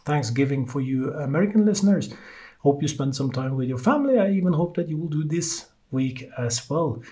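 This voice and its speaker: A man with a Norwegian accent hosts a solo market podcast in English, his second language. His pitch sits in the middle for a man, and he talks in a halting, loose way with plenty of "uh" fillers. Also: mellow. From Norway. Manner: halting, many uh fillers, loose non-native phrasing. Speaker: podcast host.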